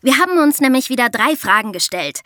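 A woman's voice: high-pitched, sing-song, a little fast, fairly bright tone, no room sound, clean studio sound. A woman co-hosting a true-crime podcast, moving between narration and discussion. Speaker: podcast co-host.

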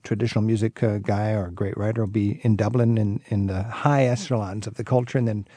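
A man's voice: lowish voice, fairly even intonation, brisk, warm tone, dry recording. A man hosting a travel interview, asking curious, enthusiastic questions. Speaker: host.

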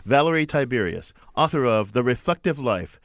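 Wry, animated male co-host. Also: medium pitch, moderate pace, no room sound, studio quality. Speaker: radio co-host. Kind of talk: scripted radio banter.

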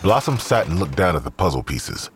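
A man with a very raspy voice reading scripted narration for an audio drama. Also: deep voice, sing-song, a little fast, slight background noise.

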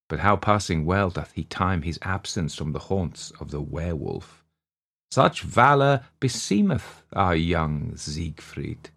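A man narrator plays a sneering rival in an old legend. He speaks with ornate, archaic sarcasm, his creaky voice rising and falling in mock admiration. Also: deep voice, full character voice. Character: sneering rival, mockingly praising a young huntsman.

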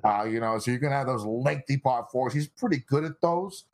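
A young man born in South Korea, a guest on a podcast, chatting casually with a relaxed, filler-heavy way of talking. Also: mid-range voice, a little fast. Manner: relaxed, laid-back banter with frequent fillers. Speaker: guest analyst.

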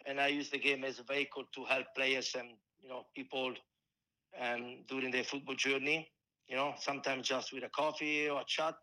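A man in his forties with a strong Italian accent, a football coach speaking in an interview, halting and restarting as he searches for words in English. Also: medium pitch, level tone, pushed and bright, low-quality recording. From Italy. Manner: halting, many restarts and fillers. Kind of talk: casual interview answers.

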